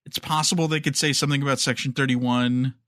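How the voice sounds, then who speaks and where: A man's voice: mid-range voice, steady tone, fast, a bit bright, dry recording, studio quality. A man co-hosting a podcast, casually discussing news and speculating in a conversational way. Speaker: podcast host.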